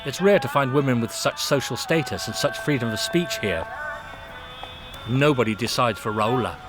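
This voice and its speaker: A man giving measured, wry scripted documentary narration as a voice-over. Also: mid-range voice, animated. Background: music.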